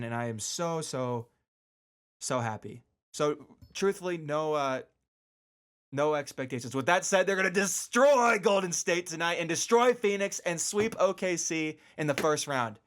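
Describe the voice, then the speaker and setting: A man's voice: fairly high pitch, smooth. A man hosting a casual solo radio show, talking informally with slangy asides and repeating words for emphasis. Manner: emphatic repetition for stress.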